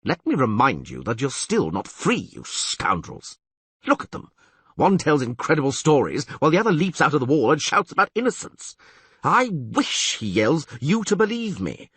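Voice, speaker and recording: Guy doing a panicky loud town mayor, husky, all over the place pitch-wise, audiobook. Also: wildly swooping pitch, moderate pace, no echo, exaggerated voice. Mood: stern, mocking. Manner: shouting, frantic. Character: blustering middle-aged male town mayor, panicky.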